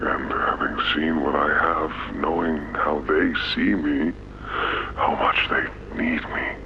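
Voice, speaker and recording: An actor playing a weary, scared but gentle monster leader in a video game, confiding haltingly into a recorder. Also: male voice, rumbling low, little pitch movement. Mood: sad, uneasy. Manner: halting, hesitant, simple-worded confiding. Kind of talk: video game audio log monologue. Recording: dull, noisy lo-fi tape recording.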